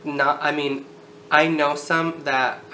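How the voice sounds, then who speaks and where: A woman's voice: low-pitched, flat delivery, slow, bright, forceful tone. A thirty-year-old woman chatting casually to the camera in a candid, informal, sometimes sweary way. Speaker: video blogger. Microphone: camera on a tripod. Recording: roomy echo, background noise.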